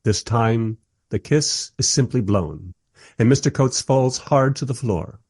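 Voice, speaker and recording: A man's voice: medium pitch, unhurried, background noise, natural voice. An actor playing a wry modern filmmaker who narrates his own story in a scripted radio play. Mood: amused, dry.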